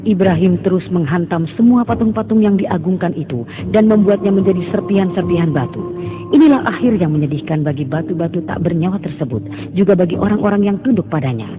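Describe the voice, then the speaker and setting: A man narrating a religious cartoon story in a solemn, measured way. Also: sits high, animated. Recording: tinny, phone-like sound with music.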